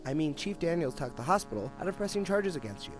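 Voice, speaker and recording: A man's voice: a little high, expressive, quick pace, natural voice, poor audio. A young man playing a wry, grumbling detective in an audio drama, with a casual, emphatic delivery. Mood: wry, persuasive. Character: wry, grumbling male detective, former partner. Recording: dialogue over clear background music.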